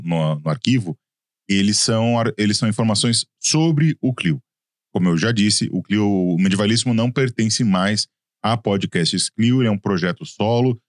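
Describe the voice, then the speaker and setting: A man's voice: lowish voice, dry recording, clean studio sound. A man with a São Paulo accent hosting a history podcast in a friendly, chatty way, often restarting his phrases. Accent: São Paulo Portuguese. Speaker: podcast host. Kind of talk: casual podcast chat.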